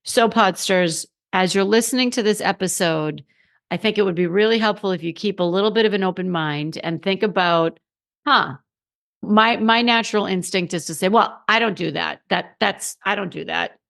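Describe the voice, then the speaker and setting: A middle-aged woman, slightly hoarse in the morning, hosting a solo podcast in a candid, conversational way and repeating phrases for emphasis. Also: mid-range voice, normal speed, a bit bright. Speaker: podcast host. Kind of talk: solo motivational podcast talk.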